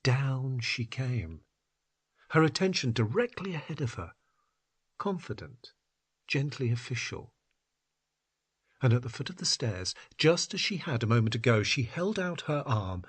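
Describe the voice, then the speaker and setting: A man reads literary fiction aloud with a husky, breathy voice of medium pitch. His storytelling is deliberate and dramatic, able to move between hush and urgency. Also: very animated. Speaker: audio story narrator.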